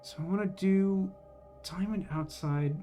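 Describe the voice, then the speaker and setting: A man's voice: high voice, a little slow, dark tone, faint music behind. A man doing casual live gameplay commentary, rambling and thinking aloud as he questions himself. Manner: rambling, musing, self-questioning. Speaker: game streamer.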